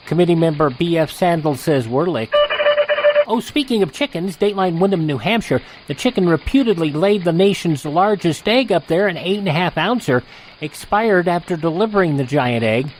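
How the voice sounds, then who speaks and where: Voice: mid-range voice, expressive, normal speed, a little hiss or hum, poor audio. A radio presenter announcing and reading light news items from a script.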